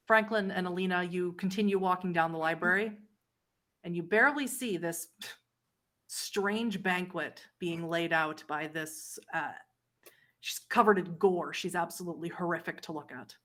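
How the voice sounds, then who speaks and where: A woman running a horror tabletop game as game master, narrating in a deliberate, dramatic way. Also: fairly low pitch, fairly bright tone. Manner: deliberate, dramatic scene-setting with pauses.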